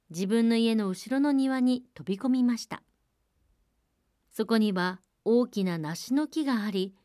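A woman narrates in the manner of a radio fairy-tale programme. Her mid-pitched voice is measured and polite. The sound is dry, with almost no room around it. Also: a little mellow, no room sound. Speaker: storyteller and programme co-host.

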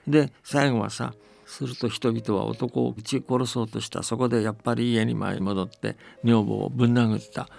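A man's voice: medium pitch, steady tone, moderate pace, vocal fry, a little mellow. A man hosting a folktale programme, telling stories and commenting on them in a folksy, chatty way that seeks agreement. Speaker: host reading and explaining folktales on a radio programme. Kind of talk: storytelling and casual commentary.

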